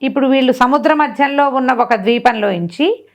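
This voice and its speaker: A woman storyteller with a slightly high voice. She retells a folk tale as if chatting, putting questions to the listener and acting out what the characters say. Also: a little fast, vocal fry. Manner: animated retelling with rhetorical questions and acted-out dialogue.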